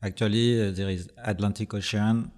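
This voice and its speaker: A man from Brittany with a French accent speaks hesitantly, leaning on many fillers. His voice is low and mellow, with a noticeable reverb around it. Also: fairly even intonation, normal speed. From Brittany, France. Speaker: guest, brand co-founder.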